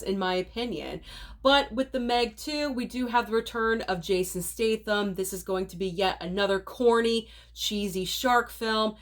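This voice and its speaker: A woman with a cold and a nasal voice, chatting through a casual rundown of upcoming movies for her channel viewers. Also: fairly high pitch. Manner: chatty, opinionated, direct to viewers. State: sick, nasal voice.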